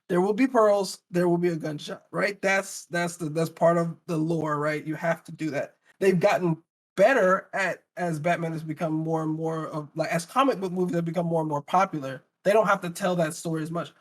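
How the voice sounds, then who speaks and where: Higher-pitched man, emphatic panel talker. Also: fairly even intonation, normal speed. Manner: emphatic, argumentative, rhetorical questions. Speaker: podcast panelist. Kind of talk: casual panel discussion.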